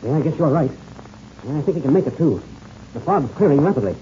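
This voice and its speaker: A man playing a steady, fatherly veteran pilot in a 1930s radio serial, folksy in manner, on an old radio recording. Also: fairly high pitch, fast, muffled recording, a little hiss or hum, close to an everyday voice. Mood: assessing.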